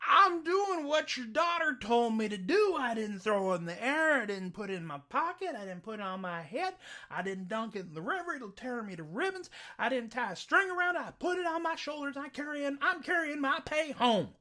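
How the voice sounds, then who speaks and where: A woman's voice: sits high, expressive, moderate pace, pushed and bright, voice pushed up. A woman voicing a big, strong young farmhand in a told folktale, speaking plainly and earnestly. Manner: earnest, plain-spoken, muttering to himself when nervous.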